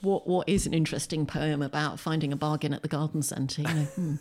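Low-voiced British woman, wryly self-deprecating. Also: fairly even intonation, fast, a little mellow. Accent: British English. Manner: wry, self-deprecating humour, chuckles while talking. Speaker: poet and short story writer, podcast guest. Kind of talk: conversational interview, reflective and anecdotal. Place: at home, indoors.

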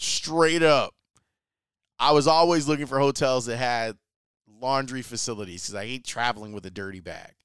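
A man's voice: medium pitch, fairly bright tone, clear, resonant tone, no echo, studio quality. A man casually telling a travel story in a chatty, enthusiastic way, using slang. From Chicago, US. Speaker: sports reporter.

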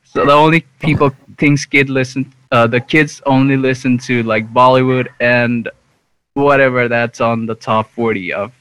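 A young man from Nepal chatting casually as a podcast guest over a remote call, relaxed and hesitant with fillers. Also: medium pitch, moderate pace, clean tone, dry recording, poor audio. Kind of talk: casual chat.

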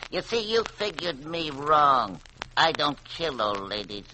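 An actor playing a tough, menacing gangster boss with clipped, slangy threats in an old-time radio detective drama. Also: male voice, fairly high pitch, big pitch swings, unhurried. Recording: vintage radio recording with faint noise.

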